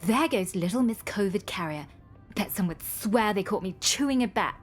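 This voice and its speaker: A woman's voice: mid-range voice, expressive, normal speed, soft background music, close to an everyday voice. An actress playing a hurt, bitter, vulnerable woman in a radio soap.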